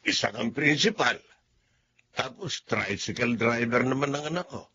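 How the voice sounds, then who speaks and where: A man's voice: mid-range voice, expressive, normal speed, sharp tone, husky, dull audio, exaggerated voice. An actor playing a blunt old father who doubts his son's much older girlfriend, in a radio drama. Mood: disapproving. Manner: blunt, skeptical, polite-formal. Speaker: father of the young man.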